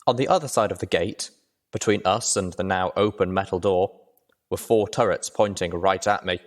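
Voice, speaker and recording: A man's voice: low-pitched, normal speed. A man reading scripted adventure fiction as the narrator.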